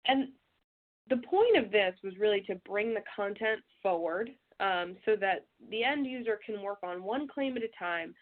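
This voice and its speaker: A woman presenting a software webinar, a little stuffy from a cold, talking in a relaxed way with frequent fillers. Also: medium pitch, normal speed. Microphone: web call audio. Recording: tinny phone-like audio.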